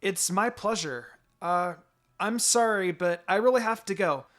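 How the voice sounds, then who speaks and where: A man's voice: sits high, unhurried, fairly bright tone, pitched above the performer's own voice. A man voicing a polite, eager young ninja girl in an earnest, exclaiming manner for a story reading. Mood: flustered.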